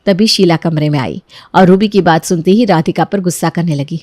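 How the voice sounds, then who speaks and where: A woman's voice: fairly low pitch, a little fast, darkish tone, dry recording, clean studio sound. A woman narrating a scripted story as a storyteller.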